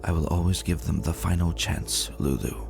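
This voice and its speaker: Man as gentle, sorrowful pony princess; soft, pleading, fantasy audio story. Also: low-pitched, fairly even intonation, normal speed, creaky, music in the background. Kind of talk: dramatic fan-fiction reading.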